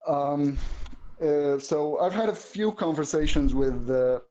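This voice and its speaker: Halting man, mellow tone. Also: fairly high pitch, expressive, dull audio. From Syria. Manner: halting, searching for words. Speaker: guest viewer. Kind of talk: casual political discussion.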